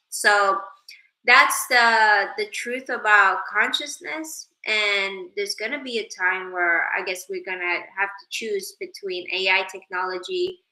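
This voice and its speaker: Slow, bright-voiced woman chatting on a livestream. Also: mid-range voice, reverberant, low-quality recording. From Sweden. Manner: chatty, reads viewer names aloud, drifts into spiritual musing. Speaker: livestream host. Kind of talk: casual livestream chat reading comments.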